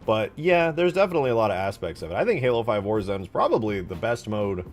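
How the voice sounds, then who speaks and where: A man's voice: fairly low pitch, expressive, fast, faint music behind. A man giving casual, unscripted commentary as he works on a game mod, thinking aloud in informal, slangy phrasing. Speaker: game modder hosting a development stream.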